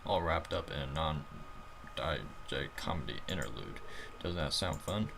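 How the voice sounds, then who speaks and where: A man's voice: very deep voice, lively intonation, a little slow, vocal fry, noisy background, exaggerated voice. A man voicing a perky, teasing female vet-clinic guide in a comic game, breezy and playful. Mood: breezy, explanatory.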